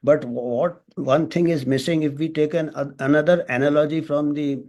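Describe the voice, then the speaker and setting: A man with an Indian accent giving extemporaneous panel remarks as a doctor, halting and thinking aloud with frequent false starts. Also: a little high, fairly even intonation, dark tone.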